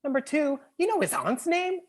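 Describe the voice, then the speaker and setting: Man playing a sassy, animated best friend. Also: very high voice, normal speed, exaggerated voice. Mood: teasing, insistent. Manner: sassy, blunt. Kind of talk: screenplay table read.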